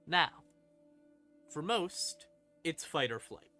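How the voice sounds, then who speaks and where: A man explains fantasy game lore to stream viewers in a bright, forceful voice. He takes his time, halting for dramatic pauses like a storyteller. Eerie ambient music plays faintly behind him. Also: on the high side, expressive.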